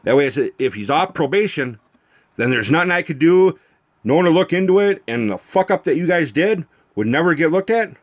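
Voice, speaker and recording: A man's voice: on the high side, expressive, brisk. A man ranting accusingly at someone who is not there, exasperated, swearing and repeating himself in rhetorical questions. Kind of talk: accusatory rant to camera addressing an absent person.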